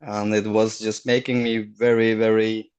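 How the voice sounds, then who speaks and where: A middle-aged man, a guest on a video call, telling his story haltingly and searching for words. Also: lowish voice, little pitch movement, a little mellow, clean tone. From Afghanistan. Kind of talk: casual personal story.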